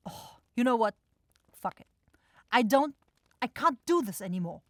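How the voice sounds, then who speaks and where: A woman playing an irritable, sharp-tongued demon shopkeeper in a scripted fantasy ASMR roleplay, blunt and exasperated. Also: a little high, animated, moderate pace, no echo, clean studio sound, close to an everyday voice. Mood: exasperated, bitter. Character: grumpy, sarcastic demon goat-eyed shopkeeper sister, sharp-tongued.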